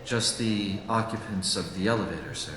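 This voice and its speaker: Guy doing a creepy polite attendant in a horror radio drama, lowish voice, super echoey. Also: moderate pace, a bit bright, lightly acted. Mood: polite, grave. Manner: polite, deferential, ominous. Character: eerie deferential man tending an accident scene. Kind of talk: horror audio drama dialogue. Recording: echoey hall reverb, faint noise.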